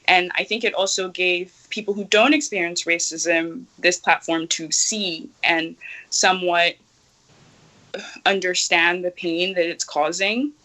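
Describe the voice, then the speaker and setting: A young woman from Nigeria answering interview questions earnestly and thoughtfully, often pausing and restarting her sentences. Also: lowish voice, fairly even intonation, pushed and bright, poor audio. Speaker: activist guest.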